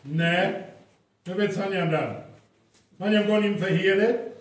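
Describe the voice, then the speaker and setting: Ein Mann spielt einen lauten, aufgebrachten Dorfbewohner in einem live aufgeführten nordfriesischen Hörspiel. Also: male voice, sits high, takes it slowly. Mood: agitated. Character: loud agitated village man, Volkert Steensen. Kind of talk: live radio play acting in North Frisian. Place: live stage performance in a hall. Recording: very echoey live hall, distant, faint noise.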